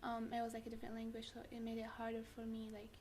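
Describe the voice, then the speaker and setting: A woman's voice: a little high, completely flat delivery, very fast, noisy background. A young woman from Syria, a student, answers interview questions casually with frequent fillers. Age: young adult. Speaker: student guest.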